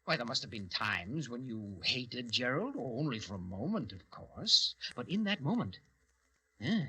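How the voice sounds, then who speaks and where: Brooding, menacing man, intensely bright voice. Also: medium pitch, big pitch swings, husky, heavily acted. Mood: taunting, sinister. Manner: theatrical, deliberate, with dramatic hesitations. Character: Harvey, brooding, menacing man fascinated by murder. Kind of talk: old-time radio horror acting, first-person narration. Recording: old radio recording with music.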